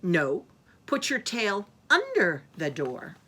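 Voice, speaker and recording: A woman's voice: mid-range voice, huge pitch swings, slow pace, noisy background. A woman voicing a wary young goat kid in a children's story, emphatic and childlike. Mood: wary, curious. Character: wary young goat kids, brother and sister.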